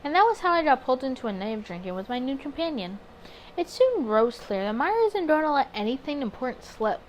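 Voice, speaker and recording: A woman's voice: high voice, sing-song, fast, slight background noise, poor audio. A woman doing a casual let's play, reading story text aloud and adding chatty, candid side comments.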